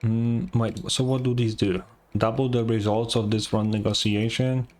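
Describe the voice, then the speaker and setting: A man's voice: fairly low pitch, fairly even intonation, moderate pace, darkish tone. A man giving casual reaction commentary as he plays a game, muttering and thinking aloud with some hesitation. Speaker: gaming streamer. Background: game music.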